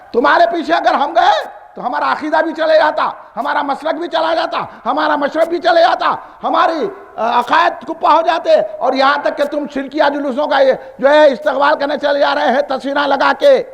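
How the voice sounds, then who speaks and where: A man preaches in a Hyderabadi Deccani accent, his voice pitched extremely high with a gravelly edge. He is combative and emphatic, repeating phrases and firing off rhetorical questions in a fiery sermon style. Long hall reverb trails his amplified words. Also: fairly even intonation, a bit bright.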